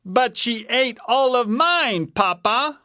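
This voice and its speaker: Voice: medium pitch, animated, slow, bright, forceful tone, dry recording, studio quality, voice pushed up. A storyteller voicing an eager, cheeky little boy bear cub in a children's storybook tale. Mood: complaining.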